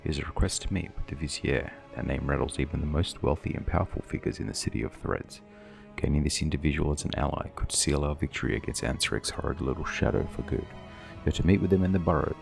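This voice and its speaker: Very deep, creaky male quest reader. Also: fast talker. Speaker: gaming video narrator. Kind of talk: scripted dramatic reading of quest text. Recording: voice-over with game music behind.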